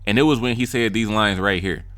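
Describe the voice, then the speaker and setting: A man's voice: lowish voice, fairly quick, a bit bright, no room sound. A young man giving blunt, joking casual commentary as a YouTube music reviewer, slipping into mimicry of rappers' lines. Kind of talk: casual commentary ranking freestyles.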